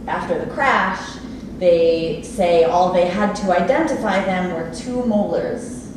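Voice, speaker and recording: Stage play role: wistful, quirky immigrant housekeeper played by a woman, unhurried and halting, heard from afar over clear noise. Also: fairly low pitch. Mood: fond, confiding. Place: live staged reading in an echoey theater space. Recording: distant, very echoey noisy room recording.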